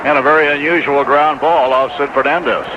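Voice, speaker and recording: A man's voice: a little high, fairly even intonation, a little fast, noisy background. A man giving live baseball commentary in the style of a 1980s radio broadcast. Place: stadium broadcast booth. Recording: dull old AM radio broadcast.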